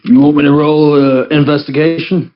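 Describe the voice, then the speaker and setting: A man's voice: a little high, steady tone, normal speed, lo-fi sound. A man playing a tabletop game, casually describing a character's actions in the first person.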